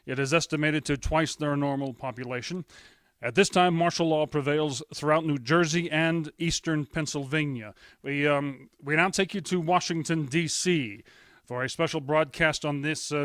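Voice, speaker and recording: An actor playing a male studio announcer in a 1930s radio drama, grave and measured with small stumbles. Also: on the high side, fairly even intonation, a bit bright, smooth, no room sound, lightly acted.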